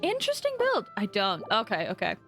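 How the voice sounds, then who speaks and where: A woman chatting casually on a game stream, giving animated reactions to what she sees. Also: medium pitch, brisk. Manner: chatty, animated reactions, breaks into singing and laughter. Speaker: livestreamer. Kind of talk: casual live gaming commentary with chat. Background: game music.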